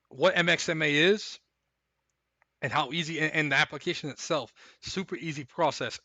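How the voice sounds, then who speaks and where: A man hosting a live stream, chatting casually and recapping fights in a rambling, hyped way while his voice goes hoarse. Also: fairly high pitch, pushed and bright, dry recording. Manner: rambling, hyped, repeats himself. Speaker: stream host.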